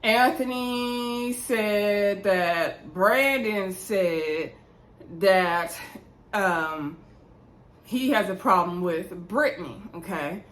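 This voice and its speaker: A woman hosts a casual recap vlog in a roomy, echoey space. Her pitch sits in the middle range for a woman. She speaks conversationally, gives her opinions freely and addresses viewers directly. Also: drawn-out words, fairly bright tone. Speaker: YouTube reality show recap host. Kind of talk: casual chatty TV episode recap. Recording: roomy, echoey indoor sound.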